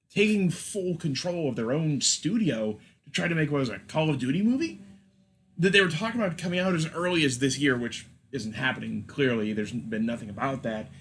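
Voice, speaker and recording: A man speaking casually as a podcast host, steering an informal discussion. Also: mid-range voice.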